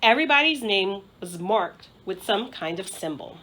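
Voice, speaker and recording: A woman narrating a children's storybook aloud in an expressive style, like a school principal reading to pupils. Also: medium pitch, moderate pace, a little hiss or hum.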